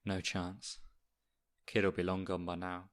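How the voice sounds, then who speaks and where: A man acting a gruff, cynical schoolteacher in a naturalistic audio drama, blunt and sardonic. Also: low voice, completely flat delivery, slow. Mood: grim. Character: cynical, gruff male schoolteacher trapped in a crisis.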